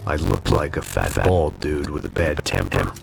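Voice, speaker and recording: An actor playing a hard-bitten middle-aged ex-cop, narrating in a dry, sardonic noir voice-over. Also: male voice, sing-song, normal speed, heavy background noise, exaggerated voice. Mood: weary. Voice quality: very deep gravelly voice. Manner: dry, sardonic, world-weary. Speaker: narrator and lead character. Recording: game cutscene with loud music.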